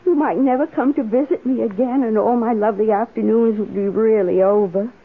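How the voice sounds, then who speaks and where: High, wistful, hesitant lonely woman. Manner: hesitant, emotive, drawn-out. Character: wistful, lonely woman, devoted secret lover. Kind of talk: 1970s radio drama acting. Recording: tinny, hissy old radio broadcast.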